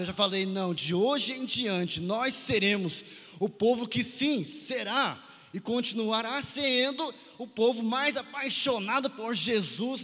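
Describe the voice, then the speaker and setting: Male Brazilian preacher, bright and forceful, exhorting a youth conference in a reverberant hall. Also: sits high. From Santarém, Pará, northern Brazil. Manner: exhorting, asks listeners to repeat phrases and respond. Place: large indoor conference hall, amplified. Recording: echoey large hall with long reverb.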